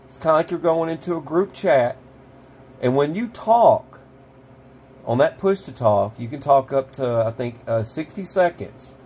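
A man's voice: on the high side, animated, unhurried, a little mellow. A middle-aged American man giving a casual product review to camera in a folksy, rambling way, repeating phrases for emphasis. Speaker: survival channel host. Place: small cluttered indoor gear workshop. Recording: clear background noise with hum.

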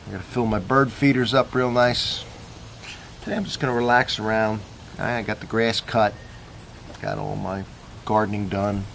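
A man's voice: lowish voice, slight background noise, poor audio. A man casually reviewing coffee in his backyard, talking in an easygoing, rambling way as he thinks aloud. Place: backyard outdoors.

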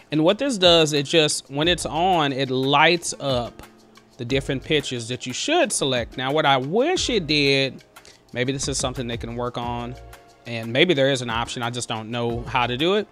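A man in his thirties, an excitable, conversational host walking through music software in a home studio on a headset mic. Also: medium pitch. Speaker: music producer, tutorial host. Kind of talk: screen-share software tutorial. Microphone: headset with boom mic.

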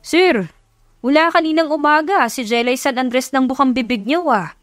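Babaeng gumaganap bilang mapang-asar na assistant, mataas ang boses. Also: female voice, moderate pace, dry recording, studio quality, close to an everyday voice. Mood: teasing. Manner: playful, bantering, pitched up. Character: teasing, cheeky male assistant to a CEO.

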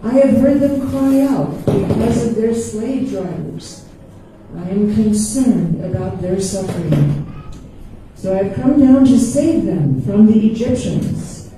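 An older woman slowly reading a Bible passage aloud in a large church hall, holding a microphone. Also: medium pitch, recorded from a distance, noisy background. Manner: slow, careful reading at a lectern. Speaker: Bible reader. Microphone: handheld mic, far camera. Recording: echoey hall recorded from afar.

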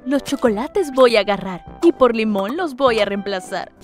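A high, lively voice for an earnest, busy cartoon grown-up, played by a woman. Each action is announced in singsong rhyme for a children's show, with clear music behind. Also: moderate pace, close to an everyday voice. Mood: studious, determined. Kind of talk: rhyming children's cartoon dialogue.

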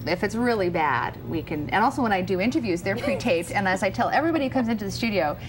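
A wry woman in her thirties jokes about editing her own radio show. Her voice is mid-pitched and casual. Clear studio noise sits under her voice. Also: lively intonation, fast talker. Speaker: radio host. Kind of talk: casual panel chat.

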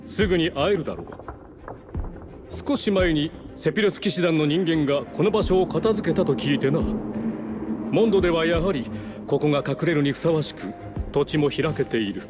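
A man's voice: fairly high pitch, moderate pace, natural voice. A man voicing a haughty, scheming nobleman in a Japanese video game, speaking formally and condescendingly. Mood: smug, assured. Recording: game music behind the voice.

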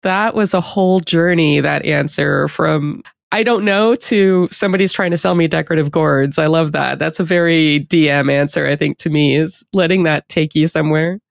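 A woman's voice: deep voice, normal speed, gravelly, hardly any pauses, dry recording, clean studio sound. A woman hosting a podcast in a casual, chatty interview style. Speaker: podcast host.

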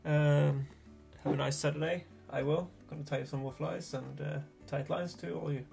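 A man in his thirties with a Swedish accent, a Swedish native speaker explaining fly tying in a relaxed, hesitant way from a small home workshop. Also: a little high, normal speed, gravelly, soft background music. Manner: relaxed, hesitant, thinking aloud. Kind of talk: casual tutorial narration.

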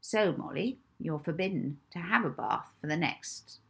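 A woman's voice: on the low side, expressive, normal speed, vocal fry, fairly bright tone, slightly rough, exaggerated voice. A woman voicing a spiteful, strict older spinster matron in a children's storybook, scolding and officious. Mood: stern, scolding.

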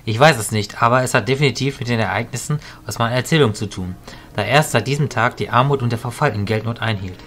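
Calm, measured mentor mage played by a man. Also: medium pitch, soft background music, close to an everyday voice. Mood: explaining. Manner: measured, explanatory. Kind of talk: hobby audio drama storytelling.

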